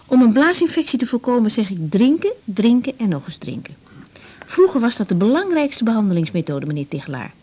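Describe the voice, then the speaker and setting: A young woman doctor in a radio drama, tactful and patient. Her voice is mellow and slightly breathy, with lively rises and falls. She picks her words carefully. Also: on the high side, brisk. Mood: matter-of-fact. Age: young adult. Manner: careful, tactful, a little hesitant. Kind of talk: 1980s Dutch radio drama acting.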